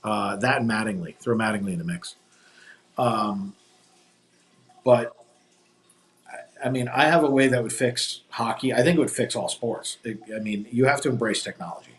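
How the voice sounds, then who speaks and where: A man's voice: on the low side, moderate pace, long pauses. A man hosting a live stream, chatting casually and rambling through opinionated sports talk. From New Jersey. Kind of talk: casual chat. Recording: echoey room.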